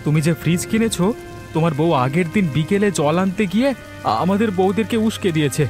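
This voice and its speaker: A man acts out a poor, put-upon husband from a cartoon folk tale. His voice is strikingly high for a man, full of complaint and pleading, over a bed of music. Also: fast, lightly acted. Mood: complaining. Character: poor, put-upon village husband and son-in-law, plaintive.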